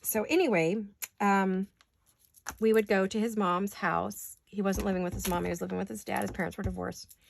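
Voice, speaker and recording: A woman's voice: mid-range voice, fairly even intonation, moderate pace, creaky, a little hiss or hum. A middle-aged woman rambling chattily while she works on paper crafts in her home studio, joking at her own expense. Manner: rambling, self-deprecating, talks while working. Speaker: craft vlogger.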